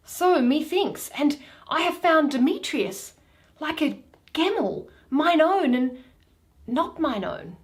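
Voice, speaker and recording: Woman doing young athenian girl in love, shakespeare, amazed, lilting high voice. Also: lively intonation, slow. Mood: wondering. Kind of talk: solo Shakespeare reading.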